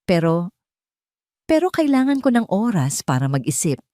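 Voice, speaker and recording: Woman narrator as young romance heroine: gentle, earnest, forgiving, dry close sound. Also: medium pitch, very animated, moderate pace, dry recording, lightly acted. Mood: reassuring.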